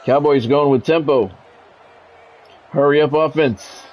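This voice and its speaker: A man's voice: fairly high pitch, unhurried, highs cut off. An American man calling live football video game play-by-play in clipped announcer phrases, with casual asides. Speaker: play-by-play streamer.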